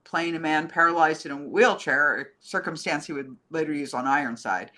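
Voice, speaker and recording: A woman with a bright voice speaks as co-host of a casual film program. She moves between reading listener letters and chatting informally about movies. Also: sits low. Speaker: co-host reading fan questions.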